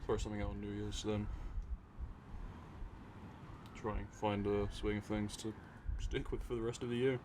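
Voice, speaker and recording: A man from Europe talking in an unscripted, musing, unhurried ASMR ramble to his listeners. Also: lowish voice, level tone. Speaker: audio roleplay creator talking to listeners. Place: by an open window at a desk. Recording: clear city noise with distant traffic. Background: city ambience, vehicles, sirens.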